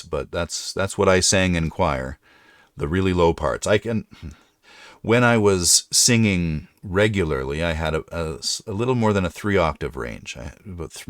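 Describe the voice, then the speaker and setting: A middle-aged man with a deep voice, hosting a casual livestream chat and rambling through his thoughts with frequent restarts. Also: dry recording, studio quality. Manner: rambling, self-correcting. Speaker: livestream host.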